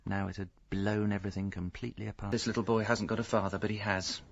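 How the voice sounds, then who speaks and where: A man's voice: fairly low pitch, level tone, fast talker, gravelly, lo-fi sound. An actor with a British accent playing a hapless, pleading husband and father who narrates his own mishaps in a radio comedy drama, stammering and earnest. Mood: wry narration.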